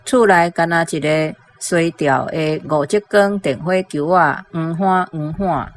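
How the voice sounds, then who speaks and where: A female teacher with a Taiwanese accent recites a line of prose. She goes very slowly in a fairly low voice, and faint ambient noise sits behind her. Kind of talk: slow recitation of a translated sentence.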